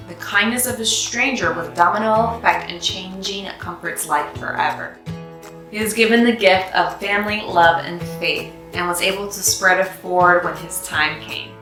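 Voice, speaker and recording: A woman reads a children's story with expressive, animated delivery and clear emphasis. Her voice sits at a medium pitch in a roomy, echoing space, with music playing behind her. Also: moderate pace. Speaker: host of a children's read-aloud series.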